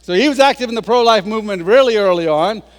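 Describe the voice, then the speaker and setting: A man's voice: high voice, lively intonation, fast. A man in his sixties giving a humorous talk as a guest speaker, telling stories with self-deprecating asides. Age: 60s. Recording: long reverb of a large hall.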